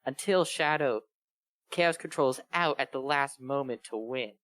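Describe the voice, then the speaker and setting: Man, middle pitch, dry recording, casual joking commentary, group read-along. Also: a little slow, fairly bright tone, no echo. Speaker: reader on a bad fanfiction show.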